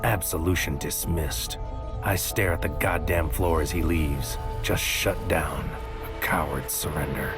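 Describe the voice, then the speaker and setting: Brooding, deep-voiced noir operative. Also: male voice, steady tone, measured pace, loud music behind the voice. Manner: poetic, clipped, world-weary. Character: brooding, bitter male operative narrating his inner thoughts. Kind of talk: noir-like scripted audio drama narration.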